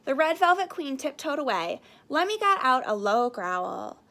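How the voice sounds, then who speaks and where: A woman reading a children's story aloud as narrator, in an expressive style. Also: high voice, moderate pace. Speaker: story reader.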